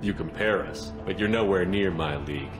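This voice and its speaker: An actor playing a tough, guarded space soldier hero in a video game, with clipped, matter-of-fact delivery. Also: male voice, sits low, normal speed, music in the background, natural voice. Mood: firm, defiant.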